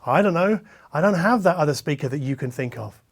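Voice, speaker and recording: A man with a slightly high voice hosts a conversational review. He explains things in a measured, methodical way, talking directly to the viewer. He often qualifies his own judgments as he goes. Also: expressive, breathy edge. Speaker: host, hi-fi reviewer. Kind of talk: conversational product review to camera. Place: treated listening room, six by five meters.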